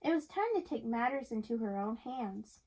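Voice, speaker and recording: A woman reading a picture book aloud to young listeners in an animated way, chatting and asking them questions as she goes. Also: a little high, moderate pace, low-quality recording. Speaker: reader of a picture book to children. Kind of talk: read-aloud storytelling with chat to young listeners.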